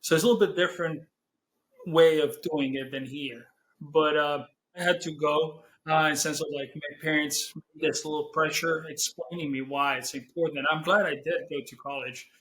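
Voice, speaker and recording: A young man from Serbia with a Serbian accent, answering interview questions in English as a non-native speaker, pausing to search for words. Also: fairly high pitch, steady tone, fairly bright tone, low-quality recording. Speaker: guest, business owner.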